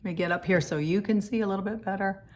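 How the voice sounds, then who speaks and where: A woman with a scratchy, hoarse voice from a cold, chatting casually through a makeup tutorial in her home office. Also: mid-range voice, quick pace, a little mellow. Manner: chatty, friendly step-by-step explaining. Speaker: makeup vlogger.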